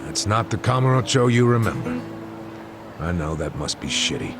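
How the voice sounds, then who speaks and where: Wry, low-voiced ex-detective, swinging intonation. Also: male voice, big pitch swings, moderate pace, vocal fry, full character voice. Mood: grim, matter-of-fact. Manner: sardonic, casual, goading. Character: wry, jaded middle-aged male ex-detective, streetwise and scheming. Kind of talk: video game cutscene voice acting. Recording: dramatic music behind the voice. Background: loud background music.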